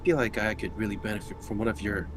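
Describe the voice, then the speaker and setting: A man's voice: lowish voice, fast talker. A man playing a tabletop game, chatting casually with friends in a wry, joking way. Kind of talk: casual banter among friends. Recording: clearly noisy background, room ambience.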